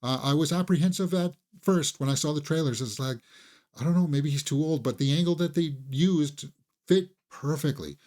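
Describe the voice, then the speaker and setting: A man's voice: a little high, normal speed, darkish tone. A man hosting a casual, conversational film review, enthusiastic and opinionated, sometimes repeating words as he thinks aloud.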